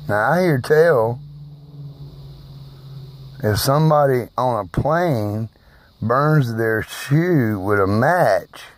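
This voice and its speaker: A man speaking slowly and haltingly in a personal video monologue, who is hard of hearing and raises his voice at times. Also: medium pitch, expressive, a little hiss or hum. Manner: slow, halting, emphatic delivery.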